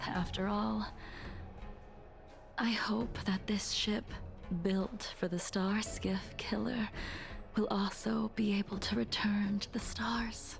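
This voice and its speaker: An actress playing a solemn, grieving veteran swordswoman in a video game, with a soft, breathy, restrained delivery. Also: mid-range voice. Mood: somber, reflective. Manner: slow, measured, grave. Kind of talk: English-dubbed video game story acting.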